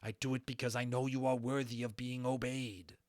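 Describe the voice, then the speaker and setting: Loyal storybook dragon, played by a man; thoughtful, earnest, questioning, lifted pitch. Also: little pitch movement, a little fast, a little mellow, no room sound, studio quality, close to an everyday voice. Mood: earnest devotion.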